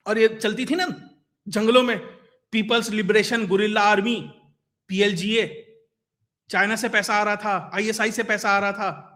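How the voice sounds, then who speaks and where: A man's voice: sits high, steady tone, a little slow, pauses often, bright, forceful tone. A man giving conversational, explanatory news commentary, emphatic, with rhetorical questions and lists. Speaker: commentator and host of an online current affairs show.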